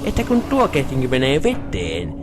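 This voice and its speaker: A man's voice: mid-range voice, huge pitch swings, over music. A man playing a gloating, theatrical wizard villain. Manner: grandiose, mock-polite, gloating. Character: theatrical, gloating male dark wizard villain, petty and wicked. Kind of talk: comic cartoon parody fan dub.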